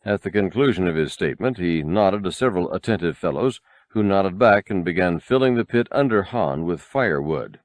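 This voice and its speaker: Male narrator for adventure fiction: lowish pitch, animated range, measured dramatic delivery, dry sound. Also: dry recording. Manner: expressive, measured storytelling. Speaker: audiobook narrator. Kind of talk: scripted dramatic narration of a novel.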